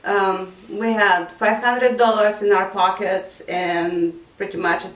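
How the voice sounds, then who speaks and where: A woman's voice: medium pitch, steady tone, slow pace. Woman guest, echoey distant sound. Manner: earnest, reflective, with filler ums. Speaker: English teacher for immigrants and refugees. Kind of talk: interview guest, casual. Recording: echoey room, voice a bit off-mic.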